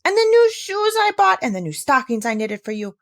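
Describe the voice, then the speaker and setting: A woman's voice: high-pitched, big pitch swings, brisk, creaky, pitched above the performer's own voice, full character voice. A woman voicing an exasperated, indignant aunt who scolds emphatically in a storybook read-aloud. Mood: shrieking, scolding. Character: scolding, exasperated young aunt in a children's classic.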